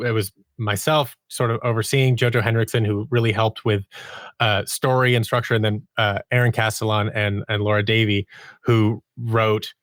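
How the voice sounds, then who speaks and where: A man speaking as a guest writer and producer in a casual interview, haltingly thinking aloud and restarting his phrases. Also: lowish voice, little pitch movement, fairly bright tone, no echo, studio quality. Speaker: podcast guest, audio drama writer and producer.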